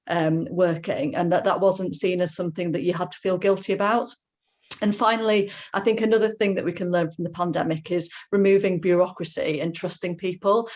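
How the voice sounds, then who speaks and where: A woman, a charity leader, giving fluent, measured prepared remarks on a panel. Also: lowish voice, a little mellow, muffled recording.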